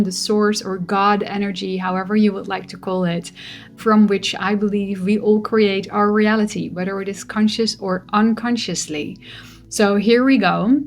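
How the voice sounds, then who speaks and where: Slow, gentle woman. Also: mid-range voice. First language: Dutch. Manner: gentle, musing, with soft laughs. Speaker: spiritual vlogger. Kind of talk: reflective personal monologue.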